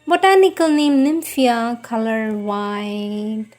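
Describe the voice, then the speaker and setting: A woman reading a devotional script in a measured, solemn manner. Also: fairly high pitch, fairly even intonation, a little mellow. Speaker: reader.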